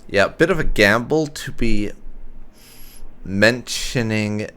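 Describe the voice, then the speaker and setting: A man giving casual commentary on a roleplay video game playthrough, musing and pausing between phrases. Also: fairly low pitch, sharp tone. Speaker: gaming channel host.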